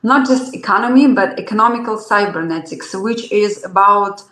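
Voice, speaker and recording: A woman answering interview questions slowly and thoughtfully, pausing often on fillers. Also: mid-range voice, fairly bright tone. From Armenia. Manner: slow, thoughtful, hesitant with many fillers. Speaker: guest artist. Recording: roomy echo.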